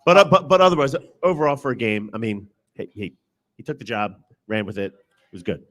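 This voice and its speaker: Fast-talking man talking sports. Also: mid-range voice, sing-song, fairly bright tone, highs cut off. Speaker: sports show panelist. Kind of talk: casual sports commentary.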